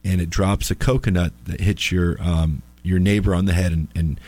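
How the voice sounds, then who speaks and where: A man with a slightly raspy voice, a little under the weather, hosts a live radio call-in show as an attorney, chatting in an informal, halting, wry way. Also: deep voice, fairly even intonation, darkish tone, dry recording. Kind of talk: casual live radio chat. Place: radio studio.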